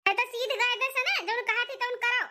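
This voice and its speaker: An extremely high, childlike cartoon voice acted out as a village woman of an Indian family comedy. The tone is bright and piercing, with a rustic Awadhi accent from eastern Uttar Pradesh. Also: fairly even intonation, a little fast, a little hiss or hum, heavily acted. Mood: bossy, scolding. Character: women of a village family: bossy sister-in-law, meek young bride, mother-in-law.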